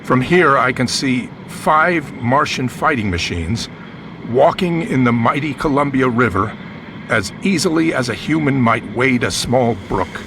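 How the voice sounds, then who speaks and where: A man's voice: medium pitch, lively intonation, a little slow, noisy background. An actor playing a male news reporter giving a dramatic eyewitness account in short phrases for a radio drama. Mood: tense, building.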